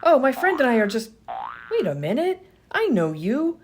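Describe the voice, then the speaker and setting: A woman playing a brisk, sarcastic, impatient adventurer in a comedy radio play. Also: sits high, big pitch swings, breathy edge, exaggerated voice. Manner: impatient, pointed questioning.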